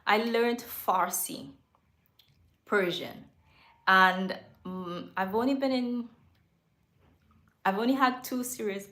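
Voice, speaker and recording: A woman's voice: mid-range voice, fairly bright tone, roomy sound. A woman hosting a casual, unscripted livestream chat, talking candidly and rambling with frequent self-corrections. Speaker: livestream host. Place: home studio.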